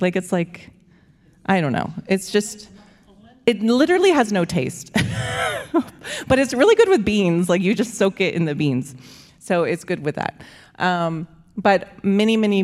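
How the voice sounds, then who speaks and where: A woman's voice: low voice, darkish tone. A young woman from the United States giving a casual, rambling church talk as a missionary speaker, full of fillers and "like". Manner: chatty, rambling, with frequent fillers and likes. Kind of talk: casual church presentation with slides. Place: church hall. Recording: long church hall reverb.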